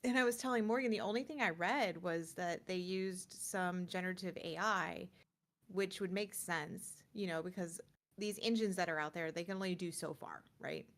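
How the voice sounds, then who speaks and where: A woman's voice: on the low side, moderate pace, a bit bright. A woman co-hosting a gaming show in casual, informal conversation, telling things in an anecdotal way. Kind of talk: casual chat about video games.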